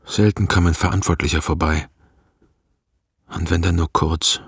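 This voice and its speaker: An actor playing a weary, reluctant herdsman with a husky, gravelly voice in a radio play. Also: deep voice, fairly even intonation, normal speed, no room sound, clean studio sound, heavily acted. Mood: weary, brooding. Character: weary, reluctant male communist herdsman telling his past. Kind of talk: radio play narration and dialogue.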